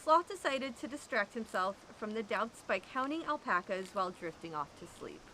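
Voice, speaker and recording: A woman reading a children's picture book aloud, with expressive, drawn-out emphasis. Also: medium pitch, a little hiss or hum, poor audio. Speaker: storyteller at an animal sanctuary.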